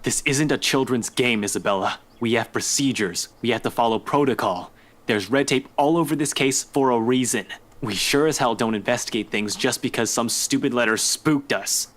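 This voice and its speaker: A man's voice: medium pitch, moderate pace, close to an everyday voice. A man playing a stern, exasperated police officer in a visual novel game.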